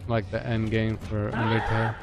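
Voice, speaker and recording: A man's voice: on the low side, monotone, moderate pace, heavy background noise. A man chatting casually while he plays a video game. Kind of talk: casual gameplay chat. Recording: loud game sound behind. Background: loud video game music and effects.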